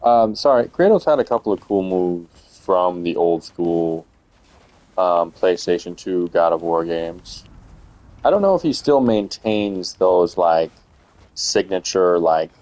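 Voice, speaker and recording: A man's voice: deep voice, lively intonation, takes it slowly, darkish tone. A young man casually commenting on a video game as he plays, rambling with lots of hesitant fillers. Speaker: gamer streaming a playthrough.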